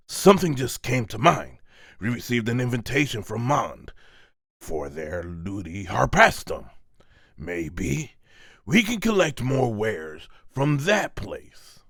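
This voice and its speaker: A man's voice: medium pitch, very animated, a little slow, fairly bright tone, husky, no echo, clean studio sound, exaggerated voice. A man voicing a nervous, obsequious underling in an amateur manga voice-over, fawning and prone to stammer. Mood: panicked pleading. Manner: fawning, stammering when pressed. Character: obsequious, nervous male underling scheming for his master.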